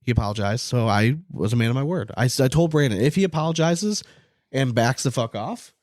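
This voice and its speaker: A man's voice: medium pitch, lively intonation, fairly quick, a little mellow, no echo. Casual male podcast host, close dry sound. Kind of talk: casual chat.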